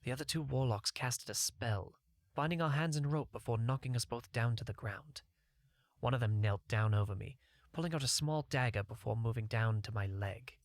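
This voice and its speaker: A man's voice: mid-range voice, normal speed, no echo. A man narrating a first-person fantasy audiobook in a scripted storytelling style.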